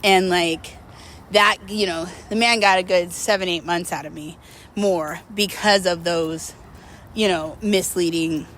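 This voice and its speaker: A woman's voice: lowish voice, fairly even intonation, unhurried, a bit bright, slight background noise. A woman talking casually and confessionally to her viewers, in a candid, rambling, emphatic way with slangy wording. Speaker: host of a personal vlog series.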